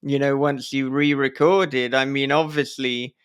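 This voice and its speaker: Male podcast host, medium pitch, crisp resonant voice. Casual, wordy, effusive, swears lightly. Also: little pitch movement, unhurried, a bit bright.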